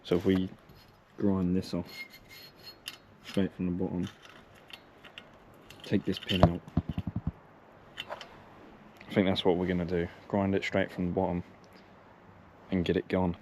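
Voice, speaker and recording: A man's voice: deep voice, completely flat delivery, unhurried, long pauses, a little mellow, slight background noise. A young man giving plain, matter-of-fact, casual commentary as he works, like a vlogger. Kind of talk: casual narration while working on bikes. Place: car, sheds and yard while working.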